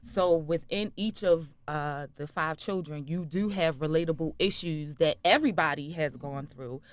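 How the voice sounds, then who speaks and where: Woman writer-director explaining her story in an interview, low voice, dry close sound. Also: moderate pace, no echo.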